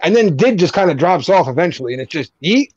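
A man's voice: on the high side, big pitch swings, darkish tone, husky, no room sound, lo-fi sound. A man with a New Jersey accent, chatting casually and joking as a co-host of a group video stream. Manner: joking, laughs often, quick back-and-forth.

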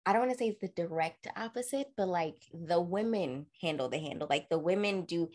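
Blunt, expressive young woman chatting. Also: a little fast. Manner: blunt, expressive, acts out quotes in a higher voice. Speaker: podcast host. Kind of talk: casual chat.

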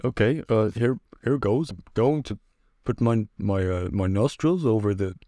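A man's voice: lowish voice, a little slow, dark tone, no room sound, close to an everyday voice. A man playing a hesitant, rambling undercover Canadian cop in an improvised comedy sketch. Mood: nervous, hesitant. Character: bumbling, apologetic undercover Canadian cop posing as a new guy.

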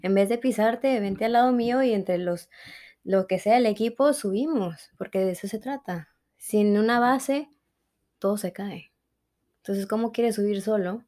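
A woman from Mexico with a Mexican accent, a guest in a conversational interview, telling her story in a reflective and animated way. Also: medium pitch, unhurried. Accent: Mexican Spanish.

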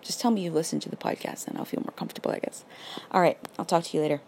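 A raspy young woman hosting a casual faith podcast, earnest and encouraging, full of "like" and "literally". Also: fairly low pitch, racing, a little mellow, slight background noise. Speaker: podcast host.

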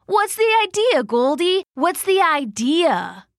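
A woman voicing Grumbles, an eager, dim male bear who cheers his friend on excitedly. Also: extremely high pitch, takes it slowly, no echo, studio quality, voice pushed up, full character voice. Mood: encouraging. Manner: excited, encouraging.

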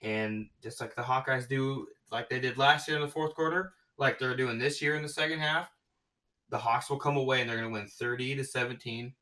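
A man hosting a casual sports podcast, talking excitedly and gushing about his predictions, often restarting his sentences. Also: mid-range voice, brisk, recorded from a distance, poor audio. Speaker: podcast host.

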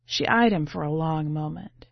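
Low-voiced woman reading in a roomy space. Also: normal speed, creaky. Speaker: podcast editor reading a short story. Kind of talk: literary audiobook-style narration. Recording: muffled, roomy home recording.

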